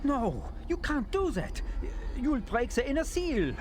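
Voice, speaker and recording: An actor playing an anxious, bookish Nazi scientist in a game cutscene, with a German accent and a pedantic, pleading manner. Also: male voice, very high voice, wildly swooping pitch, unhurried, soft background music, full character voice. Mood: alarmed, pleading. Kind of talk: dramatic game cutscene acting.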